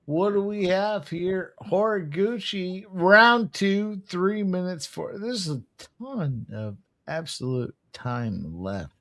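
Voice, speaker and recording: A man's voice: high-pitched, expressive, a bit bright. A drunk man co-hosting a fight stream, slurring and swearing as he reads out betting lines. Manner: slurred, slow, profane. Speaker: co-host giving betting picks.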